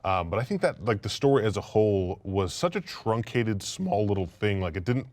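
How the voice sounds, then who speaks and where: A man chatting casually as a panelist, giving his opinions in a halting way full of filler words. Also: lowish voice, expressive, darkish tone. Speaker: talk show panelist.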